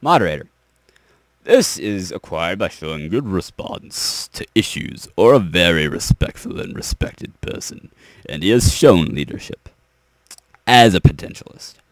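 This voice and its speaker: Young guy going hoarse, rambling on about server ranks, lots of uh's, voice jumps up and down. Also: deep voice, sing-song, no echo, rough recording. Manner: rambling, halting, many fillers and restarts. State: losing his voice. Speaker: server owner. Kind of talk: rambling explanatory speech.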